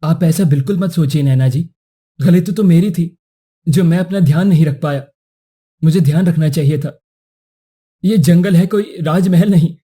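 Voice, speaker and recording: A man voicing a kind, protective young prince in an animated moral story, speaking formally and courteously. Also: fairly high pitch, slightly rough.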